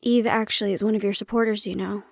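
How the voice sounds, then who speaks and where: A woman playing a prickly but caring handler in an intimate audio roleplay, speaking in a measured, probing way. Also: medium pitch, fairly even intonation, vocal fry, dry recording, studio quality. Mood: candid, wry. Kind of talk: intimate audio roleplay acting, one-sided conversation.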